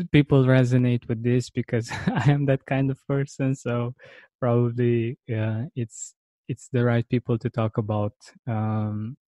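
Slow, mellow-voiced male host. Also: medium pitch, no room sound. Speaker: podcast host. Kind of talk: casual interview conversation.

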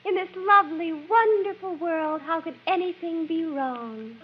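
An actress playing a lofty, lovestruck teenage daughter in a 1940s radio comedy, with an affected, airy manner. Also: female voice, very high voice, a little slow. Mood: dreamy. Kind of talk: 1940s radio sitcom acting. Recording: muffled, noisy 1940s radio recording.

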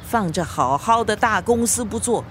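A woman's voice: mid-range voice, expressive, normal speed, a little hiss or hum, natural voice. An actress playing an aggrieved elderly mother with a Singaporean accent, scolding and arguing in a radio drama. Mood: nagging, exasperated. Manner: scolding, reproachful, argumentative. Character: nagging, aggrieved elderly mother, a grandmother, fretting over daughter.

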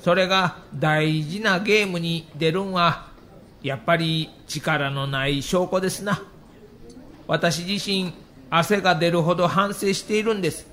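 High-voiced, weary Kansai baseball manager. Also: male voice, steady tone, measured pace, a bit bright. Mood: self-reproachful. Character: weary middle-aged baseball manager, humble, self-reproachful. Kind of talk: acted monologue in audio documentary.

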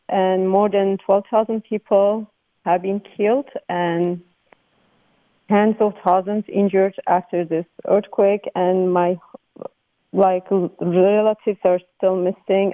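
A woman from Turkey with a Turkish accent, speaking as a guest on a news radio phone interview, halting with many fillers and restarts. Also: mid-range voice, dry recording. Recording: phone line.

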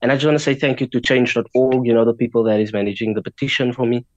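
A man's voice: mid-range voice, fairly even intonation, very fast, dry recording, lo-fi sound. A man with a South African accent, a native Afrikaans speaker, giving earnest remarks as an interview guest. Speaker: guest, fan community founder.

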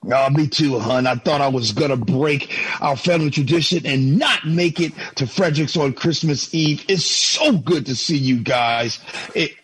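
A man's voice: a little high, normal speed, fairly bright tone, husky, poor audio. An actor playing an affectionate, earnest 1950s family father in an old-time radio drama. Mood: relieved, tender. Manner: earnest, folksy.

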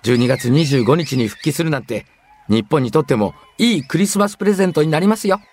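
An actor playing an earnest, courteous 1950s radio field reporter in a radio drama, speaking politely and carefully. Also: male voice, on the high side, animated, fast. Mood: conversational. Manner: polite, formal, carefully enunciated.

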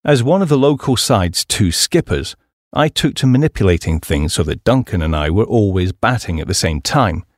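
Lively low-pitched British male narrator. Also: moderate pace, no room sound, clean studio sound. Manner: wry, storytelling delivery. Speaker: audiobook narrator. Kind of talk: scripted audiobook narration of a humorous memoir.

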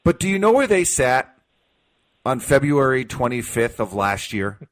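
An American man hosts a sports talk show with a medium-pitched voice. He argues his points emphatically, repeating key phrases for weight. His delivery is that of an opinionated radio host. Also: big pitch swings, moderate pace.

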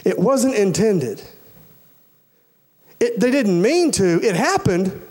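A male preacher in his forties speaks in a notably high register for a man. His delivery is folksy and emphatic, hammering phrases through repetition. He talks straight to his congregation, questioning and urging them. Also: sing-song, takes it slowly, darkish tone. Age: 40s. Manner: folksy, colloquial, emphatic, repeats phrases for stress; addresses listeners directly with questions and urgings. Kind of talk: sermon to a congregation.

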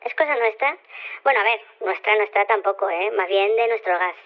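A woman's voice: mid-range voice, moderate pace. An actress playing a shrunken, sheepish woman scientist who rambles evasively in a small voice over a telephone line in an audio drama. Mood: sheepish, explanatory. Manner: rambling, casual, self-correcting, tag questions. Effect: telephone filter. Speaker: phone-in caller. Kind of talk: Spanish audio fiction radio phone-in. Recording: thin, band-limited telephone line.

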